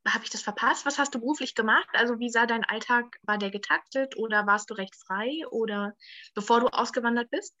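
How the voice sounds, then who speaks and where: Interviewer host, woman, crisp bright tone, dry close mic, brisk curious questions. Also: fairly high pitch, dry recording, lo-fi sound. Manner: upbeat, curious, quick questions. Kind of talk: casual podcast interview.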